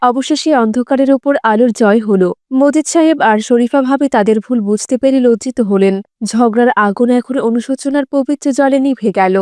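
A woman's voice: a little high, brisk, dark tone, no room sound, clean studio sound. A woman narrating a scripted story in a dramatic style.

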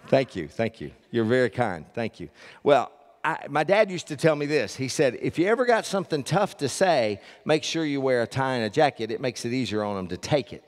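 A man's voice: mid-range voice, big pitch swings, normal speed. An older man preaching as a pastor, folksy and joking with the congregation, on a headset mic from a church stage. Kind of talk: sermon with announcements. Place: large church stage with audience. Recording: long reverb of a large hall.

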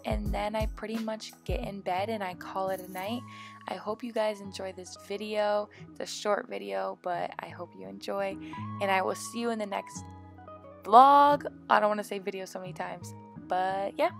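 A woman's voice: mid-range voice, fairly even intonation, moderate pace, vocal fry. A young American woman vlogger narrating her daily routine in a casual, chatty voice-over. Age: young adult. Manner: chatty, informal. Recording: voice-over with background music. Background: music.

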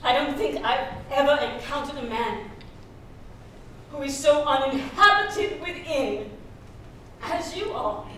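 A woman's voice: high voice, lively intonation, fairly bright tone, exaggerated voice. Defiant, scornful woman, echoing theater. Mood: cold contempt. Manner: theatrical, deliberate, cutting. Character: defiant, scornful woman confronting her aunt's killer. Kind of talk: dramatic stage reading. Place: large theater stage, indoors. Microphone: far from the speaker on stage. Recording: echoey hall, distant mic, faint noise.

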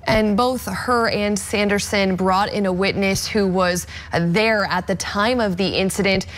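A woman's voice: medium pitch, fairly even intonation, a little slow. A young woman anchoring the news, introducing a segment and questioning a guest in a polished way, with small slips now and then. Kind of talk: live news anchoring and interviewing.

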